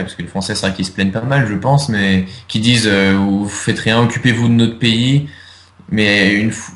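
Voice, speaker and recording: A man's voice: lowish voice, a bit bright, reverberant. A young man answering interview questions in casual chat, hesitant, with many fillers and restarts. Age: young adult. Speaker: interviewee, video creator.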